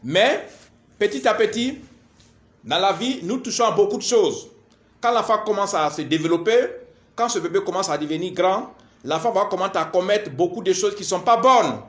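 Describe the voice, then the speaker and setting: A man speaks in a fairly high voice. He delivers a spiritual lecture in a deliberate, instructive way, building it from short phrases and repetitions. The room around his voice is clearly echoey. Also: expressive. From Ivory Coast. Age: 40s. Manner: deliberate, didactic, repetitive, halting phrases with frequent verbal tags. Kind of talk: spoken lecture to camera.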